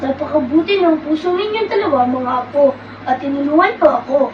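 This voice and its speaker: A woman's voice: high-pitched, a little fast, lightly acted. A girl doing a kindly old beggar. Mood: gentle. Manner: gentle, wise, lecturing. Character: kindly old beggar man who turns prince. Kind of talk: school puppet show acting. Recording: distant echoey lo-fi with loud background noise.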